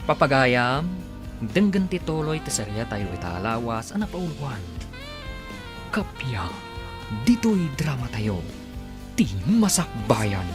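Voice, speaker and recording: Animated male radio serial announcer over music. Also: mid-range voice, takes it slowly, long pauses. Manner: theatrical, declamatory. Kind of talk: dramatic scripted radio serial narration.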